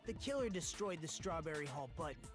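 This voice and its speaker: An actor playing an earnest young student detective in a video game, explaining things seriously and deliberately. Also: male voice, a little high, big pitch swings. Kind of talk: English video game voice acting. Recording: game audio under music.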